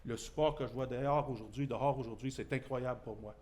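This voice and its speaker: A man with a Québécois French accent gives a press conference statement. His voice is mellow and dark, and he speaks in an earnest, indignant way. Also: medium pitch, steady tone, fast. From Témiscamingue, Quebec.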